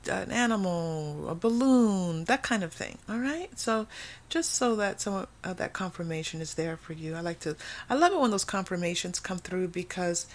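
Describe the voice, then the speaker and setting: A woman's voice: lowish voice, expressive, moderate pace. A woman giving a casual, intuitive tarot reading to viewers, thinking aloud conversationally and repeating phrases for emphasis. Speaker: tarot reader and host.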